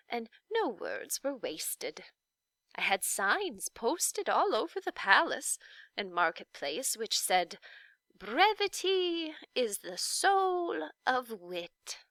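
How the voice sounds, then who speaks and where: Storybook role: kindly old lady witch, performed by a woman, measured and formal, creaky edge. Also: on the high side, no room sound, pitched above the performer's own voice, exaggerated voice. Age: older. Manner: gentle, deliberate, formal. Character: kindly, dignified old lady, a not-so-wicked official witch. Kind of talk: children's storybook read-aloud.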